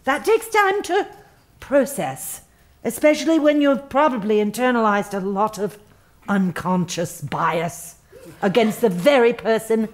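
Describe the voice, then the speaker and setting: An actress playing a smug, self-righteous human resources head in a satirical audio comedy, speaking earnest, patronizing corporate jargon. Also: female voice, fairly high pitch, lively intonation, a little slow. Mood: smoothly patronizing.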